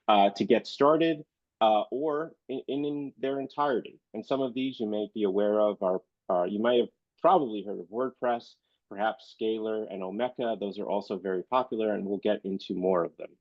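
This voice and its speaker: A man's voice: fairly low pitch, no room sound. Stuffy-voiced man giving a talk. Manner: hesitant, many fillers. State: congested, recovering from illness. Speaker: librarian presenter. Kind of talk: online presentation. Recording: dull, dry video-call audio.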